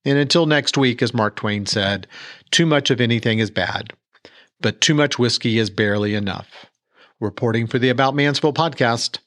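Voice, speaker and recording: A man reporting for a local podcast, reading a scripted feature segment in a smooth, measured way. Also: medium pitch, a bit bright.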